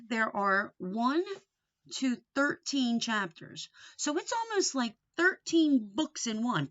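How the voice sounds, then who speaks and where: Woman reading to children, slightly dull recording. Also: a little high. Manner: simple explanatory phrasing, addressing young listeners with questions. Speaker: teacher reading aloud to children. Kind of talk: read-aloud lesson for young children. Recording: dull, lo-fi sound.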